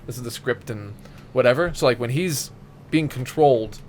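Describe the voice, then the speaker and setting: A man's voice: mid-range voice, moderate pace, a little hiss or hum. A man co-hosting a casual podcast chat about films and games in an informal, enthusiastic manner. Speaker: podcast co-host.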